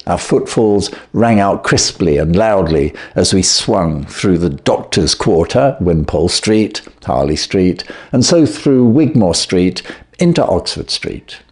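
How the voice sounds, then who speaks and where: A man with a fairly low voice narrates a storybook. He reads in a measured, expressive way, with plenty of movement in his intonation. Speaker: audiobook reader.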